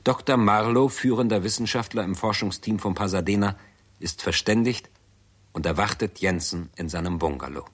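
A man narrating a 1960s German radio drama in a measured, documentary-like manner. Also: fairly low pitch, breathy edge.

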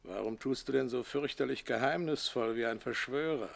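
Radio drama male actor for gravelly fatherly elder, medium pitch, measured and admonishing. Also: lightly acted. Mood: cautionary. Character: cautious fatherly older man advising his son. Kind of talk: theatrical German radio play acting.

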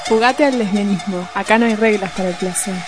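Over a clear music bed, a woman delivers scripted slogans for a radio show. Her voice sits at a medium pitch, presented as a produced promo. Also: a little fast, poor audio.

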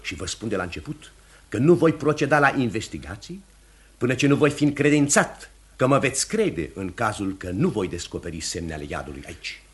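An actor playing a commanding pastor who hunts the devil, speaking in an emphatic, oratorical radio theatre manner. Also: male voice, lowish voice, sing-song. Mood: firm, assured. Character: commanding, certain male pastor hunting witchcraft and the devil.

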